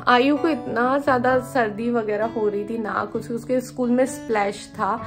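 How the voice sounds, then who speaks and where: Chatty Indian mom vlogging from home. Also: female voice, fairly high pitch, steady tone, moderate pace. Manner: chatty, mixing in English phrases. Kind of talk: casual chat to camera. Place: bedroom at home. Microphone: phone camera at arm's length. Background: music playing.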